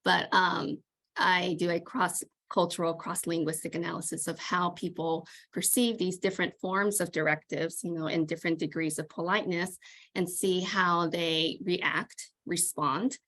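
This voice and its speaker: Thoughtful low-voiced woman from Japan. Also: steady tone, moderate pace, fairly bright tone. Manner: thoughtful, with frequent fillers. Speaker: PhD student guest. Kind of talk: interview answers. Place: small room at home. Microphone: video call.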